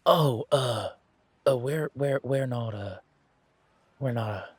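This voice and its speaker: A man's voice: mid-range voice, slow pace, breathy edge, no room sound. A man playing a bashful, apologetic young male werewolf with a cowboy drawl, stammering politely in an audio drama. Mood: awkward, nervous.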